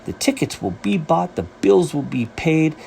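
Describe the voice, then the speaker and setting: A man reading a rhyming children's story as its author, with an animated, sing-song rhythmic delivery. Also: a little high, a little slow, gravelly, a little hiss or hum.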